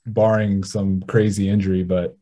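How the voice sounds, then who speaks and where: Young man from Hawaii, low mellow voice. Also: flat delivery, moderate pace, a little hiss or hum. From Hawaii, United States. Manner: hesitant, soft, trails off with ums. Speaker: professional basketball player, caller. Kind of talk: casual call conversation.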